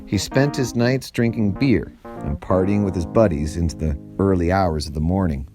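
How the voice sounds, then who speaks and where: A man reading a story aloud as a storyteller, with deliberate, dramatic phrasing and emphasis. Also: low voice, over music. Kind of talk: expressive storybook narration.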